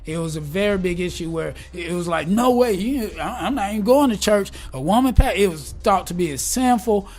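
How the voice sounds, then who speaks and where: A man in his forties, talking casually and earnestly in an interview, upbeat and a bit preachy, and quick to laugh. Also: sits high, lively intonation, faint music behind. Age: 40s. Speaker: guest, gospel rap artist. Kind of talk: casual conversation.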